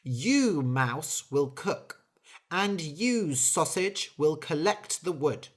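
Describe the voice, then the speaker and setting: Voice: medium pitch, big pitch swings, takes it slowly, fairly bright tone, voice pushed up, full character voice. A reader doing a weary hardworking bird. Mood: fed up. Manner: earnest, complaining. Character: hardworking female bird, tired and fed up.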